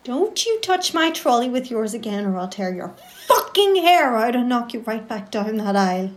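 A woman's voice: a little high, big pitch swings, moderate pace. An actress playing an aggressive, foul-mouthed shopper in her forties who threatens and swears. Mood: furious. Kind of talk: amateur audio drama.

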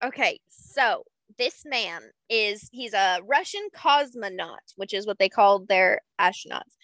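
Slow-talking young woman, dry close sound. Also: mid-range voice, animated, a bit bright, no room sound, low-quality recording. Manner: unhurried, explains facts with frequent fillers and laughs. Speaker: podcast co-host. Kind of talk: casual chat.

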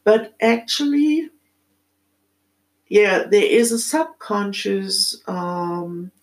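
A middle-aged woman with a German accent, speaking in a reflective, halting solo monologue as a podcast host, with many pauses. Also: medium pitch, animated.

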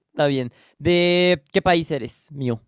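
A man's voice: fairly high pitch, lively intonation, drawn-out words, dry recording, studio quality. A man with a Mexican accent hosting a podcast, asking questions in a loose, chatty way. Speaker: podcast host. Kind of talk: casual interview chat.

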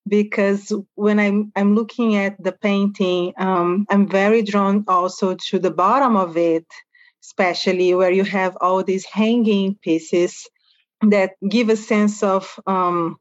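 A woman's voice: medium pitch, fairly even intonation, takes it slowly, no room sound, dull audio. A woman interviewing an artist, asking long, musing questions with some fillers. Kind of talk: conversational interview.

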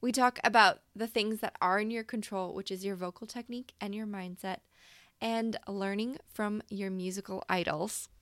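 A woman's voice: mid-range voice, steady tone, moderate pace, fairly bright tone, dry recording, studio quality. A woman hosting a singing podcast, reading an upbeat intro and then interviewing a guest. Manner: upbeat, enthusiastic. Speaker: podcast host.

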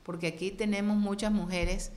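A middle-aged woman from Colombia, a guest on an interview show, telling stories in an animated, expressive way. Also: medium pitch, normal speed, slight background noise. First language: Spanish. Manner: lively, expressive, acts out quoted voices. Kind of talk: animated storytelling in conversation.

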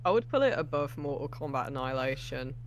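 A man's voice: mid-range voice, lively intonation, fast talker. A man co-hosting a casual movie review chat, hesitant and meandering as he talks. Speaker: co-host of a movie reaction show.

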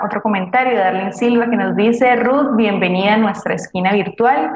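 A woman co-hosting an online programme, reading audience comments aloud between short conversational links. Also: medium pitch. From Colombia. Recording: echoey room, faint background noise, clicks.

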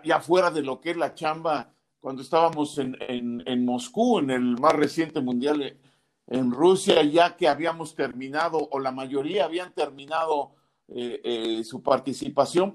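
A man, a sports journalist, telling earnest stories about a late colleague in conversation. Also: a little high. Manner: storytelling, earnest.

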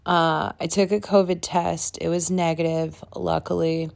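A woman hosting her own podcast in a casual, rambling way, her voice raspy from allergies. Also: lowish voice, level tone, a little slow, darkish tone. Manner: rambling, chatty, with frequent fillers and self-interruptions. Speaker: podcast host and tarot reader. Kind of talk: casual solo podcast rant.

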